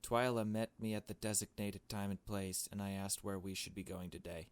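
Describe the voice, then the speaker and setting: A man with a fairly low voice narrates a visual novel as he plays. He moves between reading the text aloud and making casual, blunt remarks of his own. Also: fairly even intonation, moderate pace, smooth. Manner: casual, blunt asides with swearing. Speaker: gaming video host.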